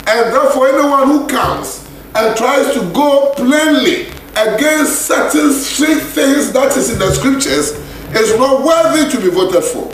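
Ghanaian male radio preacher; high voice, unhurried and intoning, booming echo. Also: expressive. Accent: Ghanaian English. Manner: repeats and intones scripture lines slowly. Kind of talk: radio sermon. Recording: echoey radio broadcast with faint hiss.

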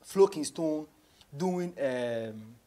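A man's voice: a little high, lively intonation, drawn-out words, mellow. A young Ghanaian man, a rapper being interviewed, casually telling stories in a mix of English and Twi. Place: small radio studio office, seated on a couch.

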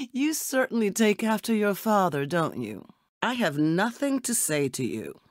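An actress playing a poised, elegant secret agent in a video game, speaking with formal, composed politeness. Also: female voice, medium pitch, sing-song, takes it slowly, darkish tone, dry recording, clean studio sound. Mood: gracious, pleased, then cold, distrustful. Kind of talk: scripted video game character dialogue.

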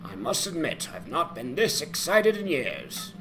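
A man playing a hearty, excitable dwarf smith in a fantasy game dramatization, exclamatory and gleeful. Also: on the high side, a little slow, vocal fry, exaggerated voice. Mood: excited, jolly.

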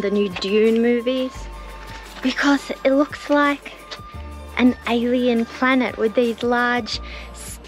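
A young woman narrating a travel vlog in a bright, enthusiastic, chatty way, filming herself on an action camera held at arm's length. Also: a little high, low-quality recording. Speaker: travel vlogger.

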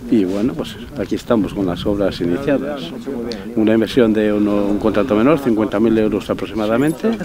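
A middle-aged man, a town mayor giving an informal interview outdoors. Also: on the low side. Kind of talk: informal on-site news interview. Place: outdoors on a hillside. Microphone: handheld recorder or phone held close.

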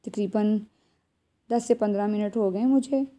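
A woman's voice: mid-range voice, a little slow, warm tone. A woman with a Pakistani accent narrating a recipe step by step as a home cook, addressing viewers directly and instructively. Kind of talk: step-by-step cooking tutorial voice-over.

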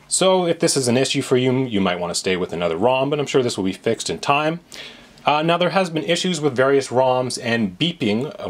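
A man hosting a tech tutorial, narrating a step-by-step walkthrough in an explanatory, reassuring manner. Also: mid-range voice. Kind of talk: instructional screen walkthrough narration.

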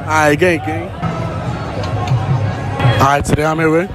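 A young man asking quick, slangy questions as a street interviewer in a busy city square, speaking into a handheld phone. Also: fairly high pitch, level tone. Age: young adult. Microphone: handheld phone held to the mouth. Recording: loud crowd and music outdoors.

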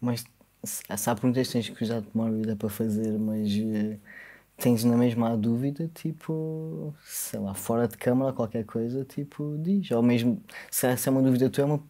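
Casting: mid-pitched Portuguese guest, thoughtful and measured, quick to laugh, studio mic. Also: male voice, fairly even intonation, dark tone. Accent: European Portuguese. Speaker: podcast guest. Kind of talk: casual podcast conversation. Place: small podcast studio with foam panels. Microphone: boom-arm studio mic.

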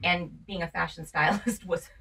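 A woman's voice: lowish voice, steady tone, moderate pace, fairly bright tone, slightly rough, recorded from a distance. A woman, a guest chatting casually and laughing often in a radio studio. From Massachusetts, near Boston. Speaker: guest, realtor and reality TV star. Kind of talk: casual interview chat. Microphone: studio boom mic with headphones.

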